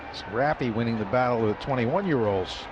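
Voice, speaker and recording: A man's voice: mid-range voice, fairly quick. A man adding chatty, anecdotal color commentary to a baseball radio broadcast from a ballpark booth. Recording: muffled radio broadcast with crowd noise.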